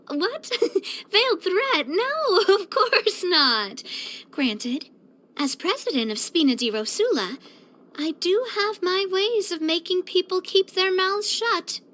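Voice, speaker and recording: A woman's voice: very high-pitched, a little slow, full character voice. An actress playing a spirited, proud and affectionate young woman boss in a video game, with a theatrical, bubbly delivery. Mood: teasing. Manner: theatrical, expressive, bubbly, with playful grandiosity. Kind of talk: scripted video game character voice lines.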